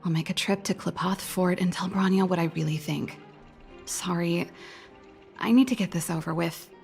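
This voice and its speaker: A woman's voice: on the low side, moderate pace, vocal fry, a little mellow, faint music behind. An actress playing a headstrong, weary woman engineer in a video game, blunt and exasperated.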